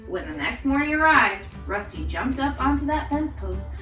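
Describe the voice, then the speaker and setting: A woman hosting storytime, reading picture books aloud to children in an expressive, encouraging way. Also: sits high, moderate pace, echoey room, distant mic, faint music behind. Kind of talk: animated read-aloud to children.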